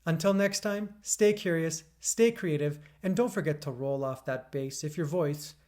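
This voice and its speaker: A man's voice: on the high side, moderate pace, darkish tone. Upbeat man reviewing audio gear. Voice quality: smooth radio-DJ delivery. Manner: upbeat, punchy, with wry quips. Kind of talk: scripted product review narration. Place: indoors beside a PA speaker. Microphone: USB condenser mic.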